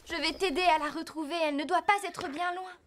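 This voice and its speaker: A lively, cheerful teenage ranch girl from a cartoon. Her voice sits very high and sounds bright and clear, full of expression. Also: brisk. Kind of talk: cartoon dub voice acting.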